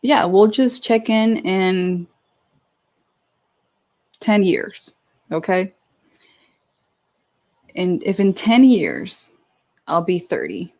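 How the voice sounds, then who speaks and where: Young woman streamer, super chill and slow, giggly, rambling through chat questions. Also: mid-range voice, big pitch swings, mellow. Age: 20s. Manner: giggly, chatty, with many fillers and restarts. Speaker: livestream host answering viewer questions. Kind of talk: casual live chat.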